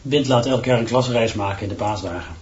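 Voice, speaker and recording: An actor playing an excitable, loyal schoolteacher in a radio play. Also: male voice, fairly low pitch, fairly quick, muffled recording, natural voice. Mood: matter-of-fact.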